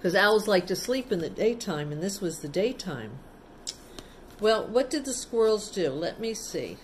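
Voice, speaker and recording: A woman's voice: medium pitch, sing-song, a little slow, slight background noise. A woman storyteller reading a picture book aloud to children, adding simple explanatory asides in a casual, child-directed way.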